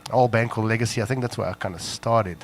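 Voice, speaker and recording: Hesitant Israeli-born musician with faint hum. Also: male voice, on the low side. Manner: halting, hesitant, many fillers. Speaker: musician guest. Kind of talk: live radio interview.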